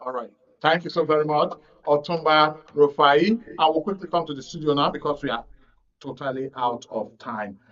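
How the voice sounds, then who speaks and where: High-pitched Nigerian man hosting live talk radio. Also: normal speed, a bit bright. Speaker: radio talk show host. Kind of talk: live studio talk with guests and callers. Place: radio studio. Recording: distant, dull, muffled broadcast audio.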